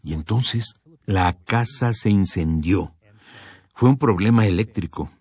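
A man giving calm, scripted radio narration, reading a reflective first-person account in measured, unhurried phrases. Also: fairly low pitch, slightly rough, no room sound. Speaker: radio program voice reading a composer's testimony. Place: radio studio.

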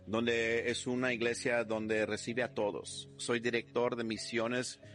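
Steady-spoken man pastor. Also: medium pitch, a little slow, soft background music.

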